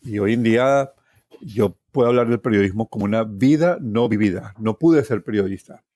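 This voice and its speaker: Low-voiced Colombian man telling stories. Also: moderate pace, mellow, no echo. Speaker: interview guest, tour guide. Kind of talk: personal storytelling.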